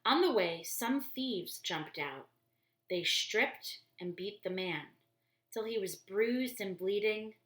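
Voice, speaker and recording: A woman reads a children's story aloud at an unhurried pace, with a middle-range pitch. She is expressive and deliberate, putting things in simple words. The room adds a clear echo around her voice. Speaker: storybook reader for church children. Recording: roomy, echoey indoor sound.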